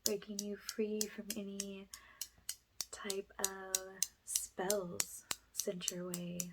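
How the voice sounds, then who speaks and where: A woman leading an ASMR guided spiritual healing session, speaking softly and breathily, often in a whisper, with slow, gentle, soothing guidance. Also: medium pitch, little pitch movement, heavy background noise. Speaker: ASMR energy healer, host.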